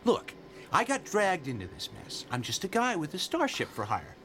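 Cocky mercenary pilot for radio drama, blunt, sarcastic, lively highish voice over faint noise. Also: male voice, normal speed, exaggerated voice. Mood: impatient. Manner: blunt, wisecracking, brash. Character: cocky, mercenary, sarcastic male starship smuggler.

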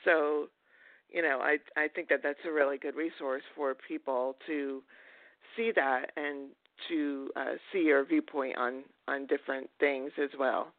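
A man's voice: mid-range voice, fairly even intonation, measured pace, dry recording. A man hosting a podcast, chatting casually as he interviews a guest. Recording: muffled phone-like line, dry.

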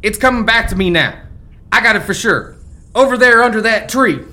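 An actor playing a folksy, forgetful old American rancher's ghost in a family radio play, with rustic old-timer phrasing. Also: male voice, high-pitched, lively intonation, moderate pace, sharp tone, exaggerated voice. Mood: muddled. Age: older.

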